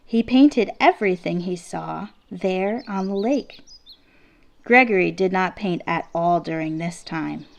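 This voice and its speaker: Slow-paced woman reading a children's story. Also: fairly low pitch, gravelly. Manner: measured, deliberate phrasing with emphasis on key words. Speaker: teacher reading a picture book aloud. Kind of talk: expressive children's story read-aloud. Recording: classical symphony music playing behind. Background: orchestral classical music.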